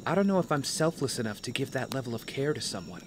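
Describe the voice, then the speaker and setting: A man's voice: medium pitch, big pitch swings, fast talker, natural voice. An actor playing an earnest, self-doubting young man in training, conversational and a little hesitant, in a naturalistic audio drama. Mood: reflective. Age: young adult. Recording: clear cricket and outdoor ambience noise.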